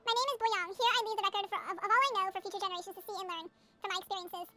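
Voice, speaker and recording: Very high, rapid-fire woman reading aloud. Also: bright, forceful tone, a little hiss or hum. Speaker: game streamer. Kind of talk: reading game text aloud.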